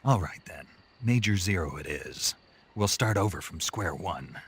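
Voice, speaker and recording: An actor playing a gruff, stoic special-forces operative in a video game, terse and dry, with a gravelly voice. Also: male voice, deep voice, animated, unhurried, fairly bright tone, full character voice. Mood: dry, puzzled. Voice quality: gravelly, husky. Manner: terse, dry, skeptical questioning. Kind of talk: video game cutscene radio dialogue.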